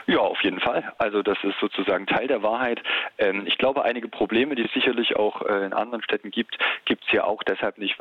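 A man with a low, somewhat husky voice speaks over a thin, tinny telephone line. He promotes his city in a chatty way and pads his sentences with filler sounds. The sound is dry and close. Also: no echo. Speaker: tourism marketing director. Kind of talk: phone interview on radio.

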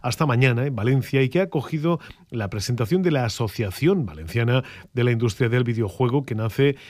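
A man's voice: mid-range voice, a little fast, no echo. Male news anchor, dry studio sound. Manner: steady delivery with occasional hesitations. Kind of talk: regional news reading.

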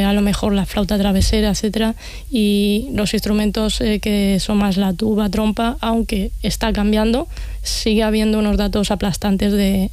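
A woman from Spain talks as an interview guest in a mellow, relaxed voice. Her pitch stays very even as she explains things conversationally, often checking in with short tag questions. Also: dry recording. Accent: Spanish from Spain. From Castilla-La Mancha, Spain. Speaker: event organiser, interview guest.

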